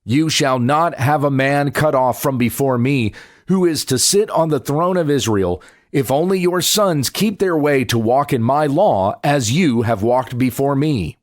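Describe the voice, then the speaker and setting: Man, pastor reading the Bible, solemn, measured phrasing, animated intonation, very dry close sound. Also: mid-range voice, dry recording. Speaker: pastor reading scripture aloud.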